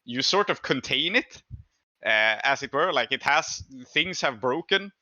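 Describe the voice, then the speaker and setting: A man's voice: medium pitch, animated, a little slow, pushed and bright, dry recording, highs cut off. A man running a tabletop game as game master, narrating and making rulings in a thoughtful, deliberative way.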